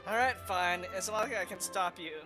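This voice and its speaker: A man's voice: sits high, normal speed. A man streaming a video game, reacting casually to the story as he plays. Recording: game music behind the voice.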